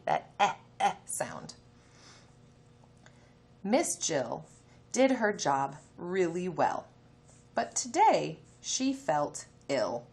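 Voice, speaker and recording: A woman's voice: lowish voice, gravelly. A woman teacher giving a reading lesson, explaining instructions to children, sounding out letters and reading a passage aloud. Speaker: elementary teacher.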